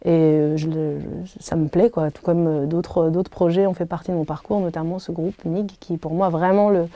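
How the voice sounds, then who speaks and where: A woman in her thirties whose first language is Arabic, answering interview questions thoughtfully, with many pauses and restarts. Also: lowish voice, fairly even intonation, dark tone, no room sound. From Algeria. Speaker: singer being interviewed. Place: hotel room.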